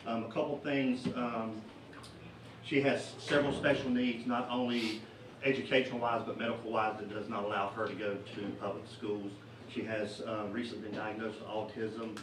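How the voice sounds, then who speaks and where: A middle-aged man, a parent, giving public comment at a school board meeting, earnest and halting with many fillers. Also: on the low side, little pitch movement. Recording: echoey meeting room, distant mic, noisy.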